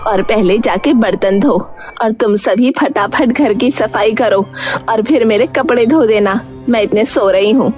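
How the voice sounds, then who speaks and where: Greedy old village sorceress, played by a woman: commanding, scheming, high-voiced, cartoon story with music bed. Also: a little slow, a little mellow, natural voice. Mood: bossy. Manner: commanding, demanding.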